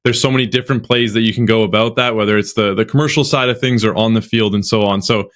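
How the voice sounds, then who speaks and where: A man's voice: fairly low pitch, steady tone, fairly bright tone. A man hosting a podcast interview, speaking casually and often restarting and repeating words. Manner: halting, repeats words as he talks. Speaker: podcast host.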